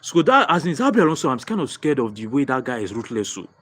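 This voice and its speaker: A man's voice: medium pitch, quick pace. A young Nigerian man with a Nigerian accent hosting a live football fan stream, answering viewer comments and repeating his points with many restarts. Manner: excitable, repeats phrases, frequent restarts. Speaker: football livestream host.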